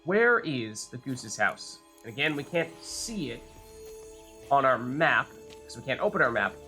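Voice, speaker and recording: A man's voice: mid-range voice, sing-song, a little slow, bright, forceful tone, faint music behind. A man giving casual live commentary on a video game, chatting and thinking aloud as he plays. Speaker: game streamer, host.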